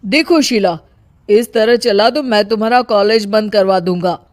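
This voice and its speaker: A woman's voice: mid-range voice, moderate pace, darkish tone, no room sound, studio quality, close to an everyday voice. A woman voicing a stern, scolding young husband and elder brother in a cartoon, curt and reproachful.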